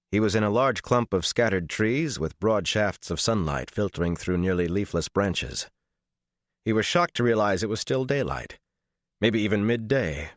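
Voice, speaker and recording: A man reading a dramatic fantasy audiobook as its narrator, with a measured, intense and expressive delivery. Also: on the low side, dry recording, studio quality.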